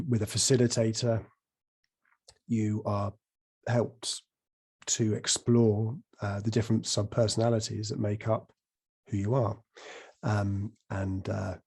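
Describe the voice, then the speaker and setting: A man hosting a podcast interview, his voice croaky from a recent cold, speaking in a reflective, hesitant way with many pauses. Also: fairly low pitch, a little mellow. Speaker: podcast host.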